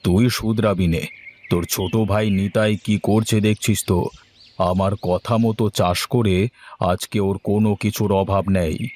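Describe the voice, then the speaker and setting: Man putting on a low, scheming village salesman. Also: fairly even intonation, measured pace, darkish tone, a little hiss or hum, natural voice. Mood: coaxing. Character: scheming, pushy older-brother village fertilizer seller. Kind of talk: Bengali cartoon story voice acting.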